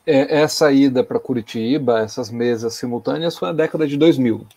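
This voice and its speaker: A man's voice: medium pitch, moderate pace, a little mellow, breathy edge. Brazilian man asking short questions. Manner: short, plain follow-up questions. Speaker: interviewer, host. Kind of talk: casual video-call interview. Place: home room, indoors. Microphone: video call.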